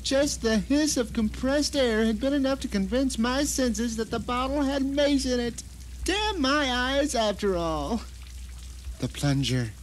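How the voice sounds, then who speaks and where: A man performing a bitter, vindictive captive who narrates his own ordeal in a melodramatic, sardonic audio drama monologue with deliberate phrasing. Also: very high-pitched, animated, slight background noise. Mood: baffled, angry.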